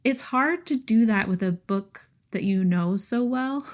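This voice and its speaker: A woman's voice: a little high, measured pace. Chatty woman co-hosting a book podcast. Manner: chatty, giggly, enthusiastic. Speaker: podcast co-host. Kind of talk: casual book chat.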